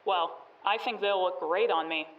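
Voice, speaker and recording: A woman's voice: on the low side, normal speed, dull audio, natural voice. A cocky bandit played by a woman sounds off from a distance with plenty of echo. She is sarcastic and drily taunting, in the style of an audio drama. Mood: impatient, mocking. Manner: taunting, dry. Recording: echoey, distant from the microphone.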